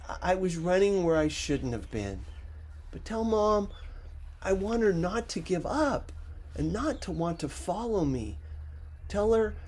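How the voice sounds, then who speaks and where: A man voicing a gentle little boy ghost in an audiobook story, earnest, childlike and slightly halting. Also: sits high, pitched above the performer's own voice, full character voice.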